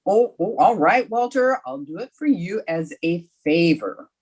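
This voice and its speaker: An actress playing a wry, wisecracking high school teacher in an old-time radio comedy, with dry, sardonic delivery. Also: female voice, lively intonation, a little slow, a little mellow, breathy edge. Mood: chatty, coy. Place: remote recording over video call.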